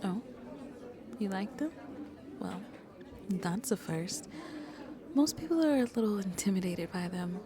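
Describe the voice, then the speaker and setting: A woman playing a shy, sweet vampire on a first date in an ASMR roleplay, soft-spoken and hesitant, often dropping to a whisper. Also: mid-range voice, lively intonation, measured pace, pauses often, lightly acted. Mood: shy, warm. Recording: crowd chatter in the background. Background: crowd chatter.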